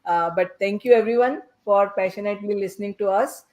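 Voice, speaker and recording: Woman podcast host, medium pitch, chatty panel style, kinda halting and repeats herself. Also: a little mellow. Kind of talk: conversational panel hosting.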